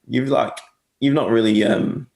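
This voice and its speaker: A man's voice: medium pitch, fairly even intonation, measured pace, gravelly, a little mellow, reverberant. A young man with a mixed English and Australian accent, chatting casually as a guest agency owner, rambling and correcting himself with lots of fillers. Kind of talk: casual interview chat.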